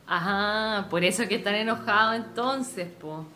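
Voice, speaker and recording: A woman's voice: mid-range voice, measured pace, bright, forceful tone, a little hiss or hum. An actress playing a friendly, modest working-class Chilean woman in a radio drama, casual and plain-spoken.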